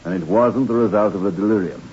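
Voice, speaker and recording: A man playing a firm, rational doctor in an old radio drama, measured and authoritative. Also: on the low side, darkish tone, close to an everyday voice. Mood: reassuring, firm. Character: firm, rational male doctor, reassuring and scornful of superstition. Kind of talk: 1960s radio drama acting. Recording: muffled old radio recording.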